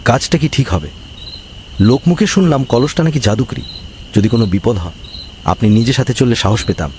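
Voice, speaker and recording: A man's voice: medium pitch, very animated, brisk, a little mellow, a little hiss or hum. A man voicing a village boss's timid henchman in a cartoon, deferential and hesitant. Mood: nervous, hesitant. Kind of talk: cartoon voice acting.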